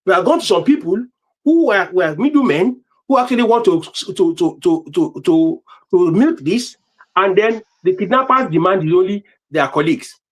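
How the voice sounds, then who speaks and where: A Nigerian-accented man giving political commentary as a panelist, halting and repeating words as he goes. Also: high-pitched, animated, rough recording.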